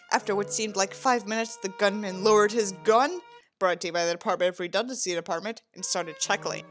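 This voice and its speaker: A woman doing a sarcastic dramatic reading, with theatrical, mocking delivery and sweary commentary. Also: mid-range voice. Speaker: host reading a book aloud with asides.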